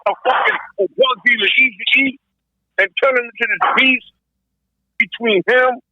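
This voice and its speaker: A man in a casual podcast debate, excitable and slangy, heard over a lo-fi call. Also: very high voice, normal speed, noisy background. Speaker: podcast guest. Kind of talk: casual hip-hop debate. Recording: lo-fi phone-like call.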